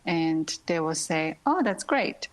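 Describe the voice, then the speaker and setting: A Japanese-born woman ballet dancer in her thirties, speaking English as a second language in an interview, soft-spoken with frequent pauses and light laughter. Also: sits low, expressive. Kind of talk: interview, answering questions.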